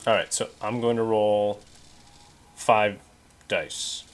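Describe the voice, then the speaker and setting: A man's voice: lowish voice, fairly even intonation, slow, clear, resonant tone. A man talking casually as a player at a tabletop role-playing game.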